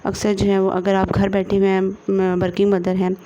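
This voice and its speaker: A woman with a Pakistani accent presenting an informal screen-share tutorial, halting often and leaning on repeated filler phrases. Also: mid-range voice, monotone, lo-fi sound, background noise.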